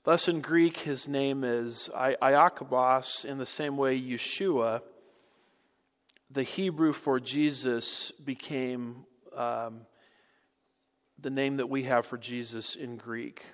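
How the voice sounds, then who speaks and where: A man teaching through a Bible book in a deliberate way, with rhetorical questions and light asides. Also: medium pitch, steady tone, a bit bright. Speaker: pastor. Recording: dull audio with long hall reverb.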